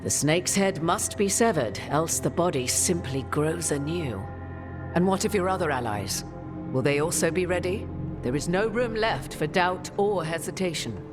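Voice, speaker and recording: A woman playing a grave, cryptic sorceress in a video game, speaking in formal, archaic, measured phrases. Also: on the low side, expressive, over music, close to an everyday voice. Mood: urgent. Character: grave, cryptic sorceress ally with ancient memories. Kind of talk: video game cutscene acting.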